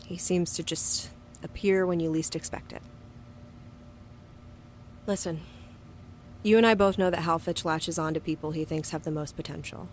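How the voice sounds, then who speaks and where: A woman's voice: deep voice, slight background noise. An actress playing a hot-tempered, wounded young woman in an audio drama, with a blunt, sweary way of talking. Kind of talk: audio drama acting and first-person narration.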